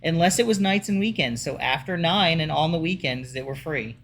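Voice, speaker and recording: A man's voice: a little fast, a bit bright, a little hiss or hum. A man co-hosting a podcast, telling stories in a rambling, casual way with many fillers. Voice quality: deep voice like his father's. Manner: rambling, chatty storytelling with fillers. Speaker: podcast co-host. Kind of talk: casual chat.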